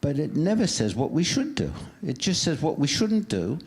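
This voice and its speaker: A man's voice: fairly high pitch, big pitch swings, moderate pace, a little mellow. A man in the audience asking a question in a wry, self-deprecating way. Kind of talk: question from the floor.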